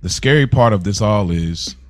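A man's voice: on the low side, lively intonation, moderate pace, faint music behind. A man co-hosting a podcast in earnest, casual chat, often repeating and rephrasing himself as he goes. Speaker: podcast co-host.

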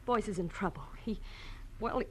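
Actress as nervous, halting, prim wife. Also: female voice, medium pitch, a little mellow, close to an everyday voice. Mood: anxious, defensive. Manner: hesitant, halting. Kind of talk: 1940s radio drama acting. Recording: noisy old radio recording.

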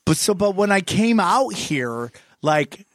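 A man in his forties from New York, a comedian telling rambling, joking stories on a podcast with sudden loud bursts. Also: high voice, takes it slowly, dry recording, studio quality. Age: 40s. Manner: rambling, jokey storytelling with loud excited bursts. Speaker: comedian guest. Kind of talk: casual podcast chat.

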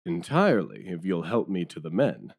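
Man playing a deep, animated, hearty police detective. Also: normal speed, vocal fry, breathy edge, heavily acted. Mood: businesslike. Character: hearty, blustering police detective, bossy but agreeable. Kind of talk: audio drama acting.

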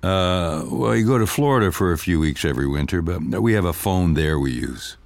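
An older husband with a very deep voice. He is mild, affable and understated, speaking in short, low-key phrases in a natural audio-comedy manner. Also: expressive, fairly quick, vocal fry. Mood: reserved, agreeable. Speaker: actor in an audio drama.

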